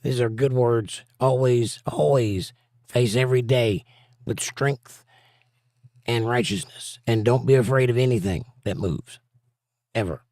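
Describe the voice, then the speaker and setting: A slightly hoarse, scratchy-voiced man hosts a laid-back livestream, reading chat messages aloud and riffing on them with goofy asides. His voice is dry and close, with no room around it. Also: medium pitch, unhurried, darkish tone, no echo. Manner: jokey, reads viewer comments aloud, breaks into silly bits.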